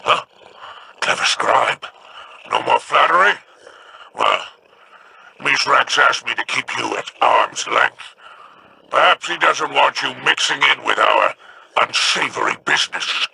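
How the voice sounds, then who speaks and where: Video game figure: a menacing alien pirate boss, gritty gravel in the voice, slow and deliberate. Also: male voice, mid-range voice, bright, forceful tone, full character voice, rough recording. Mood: musing. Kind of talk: game voice acting.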